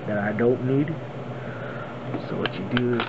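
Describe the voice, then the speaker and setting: A man's voice: medium pitch, a little slow. A man giving a casual, informal how-to demonstration indoors, talking step by step in short phrases. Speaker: tutorial presenter. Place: indoors at a desk. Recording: muffled, loud hum and room noise.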